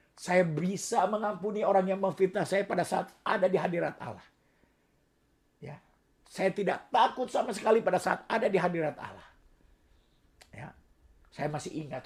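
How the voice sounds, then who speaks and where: Hoarse, gravelly Indonesian man preaching. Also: high voice. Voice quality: hoarse, raspy voice. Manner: swings between soft asides and loud emphatic declarations. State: voice worn out from long preaching. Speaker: pastor. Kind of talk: sermon.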